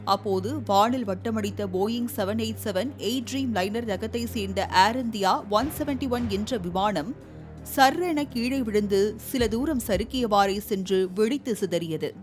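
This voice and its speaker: A woman narrating a scripted news report in a formal, measured way. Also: on the high side. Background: music bed.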